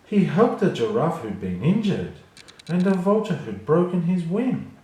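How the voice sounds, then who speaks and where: A man storyteller giving an expressive children's read-along narration. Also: a little high, measured pace. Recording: very echoey room, faint noise.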